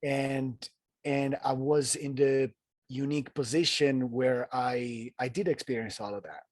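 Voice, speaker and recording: A man from Italy with an Italian accent, telling his story as a podcast guest in an earnest, halting way full of restarts. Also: mid-range voice, steady tone, no room sound, clean studio sound. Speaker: guest, ultrarunner. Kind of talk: casual interview storytelling.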